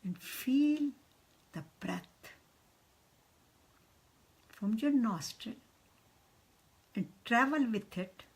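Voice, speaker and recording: A woman, leading a guided meditation in a soft, half-whispered voice. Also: fairly high pitch, animated, drawn-out words, long pauses, slightly rough.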